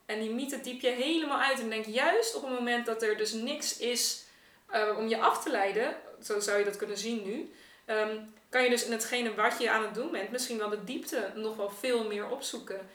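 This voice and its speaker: A woman's voice: fairly high pitch, normal speed, fairly bright tone. Woman, podcast guest. Thinks aloud, conversational. Roomy, distant pickup. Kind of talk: casual podcast discussion. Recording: echoey room, off the mic.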